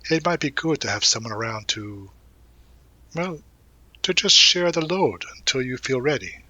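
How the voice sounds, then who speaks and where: A man playing a supportive, gently teasing young college guy in an intimate audio roleplay, talking casually and reassuringly with hesitant pauses and murmured asides. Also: medium pitch, animated, no room sound. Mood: reassuring, encouraging. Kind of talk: intimate audio roleplay, one-sided conversation.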